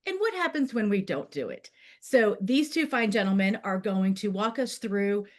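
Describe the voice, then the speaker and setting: A woman hosting a live webinar over a video call, introducing guests in an upbeat, welcoming and organized way. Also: mid-range voice. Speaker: webinar host.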